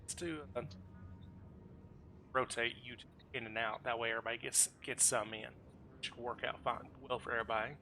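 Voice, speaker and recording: Bright-voiced man leading gamers. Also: mid-range voice, flat delivery, a little fast, pauses often, slight background noise. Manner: informal, self-deprecating, organizing. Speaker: streamer and group leader. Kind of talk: casual gaming group voice chat.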